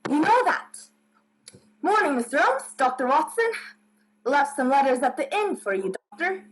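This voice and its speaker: A woman's voice: high-pitched, big pitch swings, normal speed, a little hiss or hum, rough recording. A woman playing a plain-spoken rustic village postman in a radio play, talking in folksy dialect with emphatic tags. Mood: folksy, apologetic.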